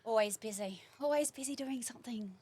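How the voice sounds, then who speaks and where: A woman in her forties with a New Zealand accent chats as a guest on a small studio podcast. She talks warmly and rambles as she recalls things, with plenty of casual fillers. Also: fairly high pitch, a little fast, breathy edge. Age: 40s. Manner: warm, chatty, rambling recollection. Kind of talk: casual interview chat.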